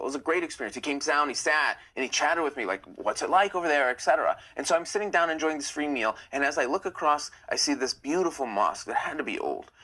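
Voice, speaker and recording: Bright-voiced man chatting live. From United States. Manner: chatty, opinionated, gets heated and rises in pitch. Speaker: live-stream host. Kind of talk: casual live-stream chat reading comments.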